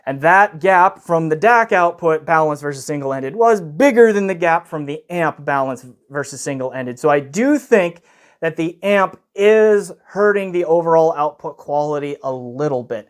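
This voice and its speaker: Higher-pitched man giving a casual gear review. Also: expressive, moderate pace, clear, resonant tone. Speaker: product reviewer.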